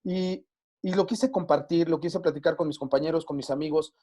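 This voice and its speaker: A man's voice: a little high, steady tone, fairly quick, mellow. A man hosting an online group session as life coach, chatting casually with frequent fillers over a video call.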